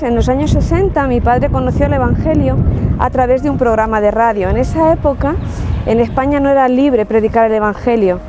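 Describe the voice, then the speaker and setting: Woman, Spanish accent from La Mancha, warm mellow tone, earnest testimony, soft music in the background. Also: on the high side. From Spain, Ciudad Real. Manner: earnest and reflective, with frequent restarts. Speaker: testimony speaker. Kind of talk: personal faith testimony.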